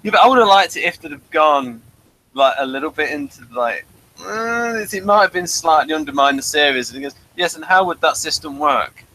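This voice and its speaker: A man's voice: on the high side, expressive, a little fast, sharp tone, poor audio. A man hosting a casual review chat, rambling along with jokey asides. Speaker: host of an audio drama review show.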